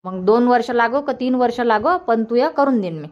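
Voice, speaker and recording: A woman's voice: fairly high pitch, normal speed, close to an everyday voice. A woman voicing a resigned, placating old village mother in a cartoon, on dull lo-fi audio. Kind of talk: Marathi cartoon comedy dubbing.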